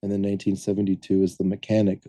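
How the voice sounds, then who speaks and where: A man co-hosting a podcast, talking casually and loosely with many pauses and filler sounds as he lays out background he has looked up. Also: low voice, monotone, warm tone, dry recording. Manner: rambling, hesitant, lots of "um" and self-corrections. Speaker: podcast co-host presenting the film research.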